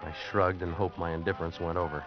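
A deep-voiced American man, wary and streetwise, tells of a risky deal in hardboiled style as acted by a man. It is old radio drama, muffled, with music underneath. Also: fairly even intonation, fast, natural voice. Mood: tense, brooding. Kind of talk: 1940s-50s radio drama acting, hardboiled first-person narration. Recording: dull vintage radio recording with music behind.

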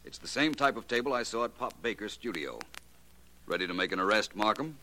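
An American actor playing a shrewd, self-assured gentleman detective in a 1940s radio mystery, suave, deliberate and theatrical. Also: on the low side, lively intonation, slight background noise, rough recording. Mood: confident, theatrical.

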